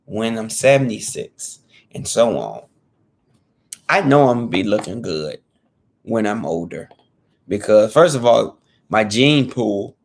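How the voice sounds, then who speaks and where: A man's voice: lowish voice, gravelly. A man in his thirties talking casually to camera as a fitness vlogger, earnest and repetitive, restating his points in informal slang. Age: 30s.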